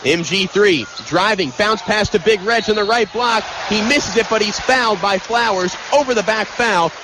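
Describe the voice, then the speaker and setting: A man's voice: high-pitched, moderate pace. A man doing live play-by-play basketball commentary for radio, with a clipped delivery. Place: live from a college basketball arena. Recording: noisy arena radio broadcast.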